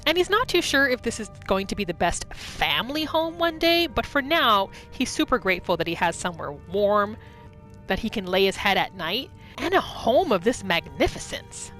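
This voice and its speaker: A woman's voice: medium pitch, big pitch swings, moderate pace, a bit bright, soft background music. A woman hosting a gaming video, narrating her roleplay playthrough in a casual, chatty storytelling way. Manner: chatty, informal, storytelling in third person.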